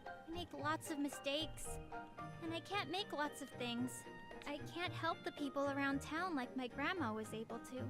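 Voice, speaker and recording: A woman playing an earnest, excitable teenage novice alchemist in an anime game, hesitant and easily startled. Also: sits high, normal speed, lightly acted. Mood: dejected. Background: game music.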